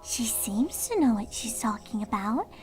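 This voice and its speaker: A woman's voice: a little high, very animated, unhurried, a little mellow, soft background music. A woman voicing a practical, agreeable young female pony in a dramatized fan fiction reading. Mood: calm, persuading.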